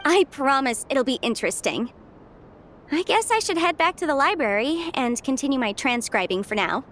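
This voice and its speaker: High, lively young adeptus scholar. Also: female voice, moderate pace, a little hiss or hum. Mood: upbeat, chatty. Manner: chatty, expressive, hesitant when put on the spot. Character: cheerful, flustered young horned female adeptus scholar. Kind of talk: video game voice acting. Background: background music.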